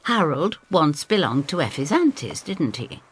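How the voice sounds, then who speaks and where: A deep-voiced woman narrates a scripted story in the first person. Her delivery is theatrical and very animated, swooping in pitch, with some noise behind the voice. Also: a little slow. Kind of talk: scripted dramatic narration in character.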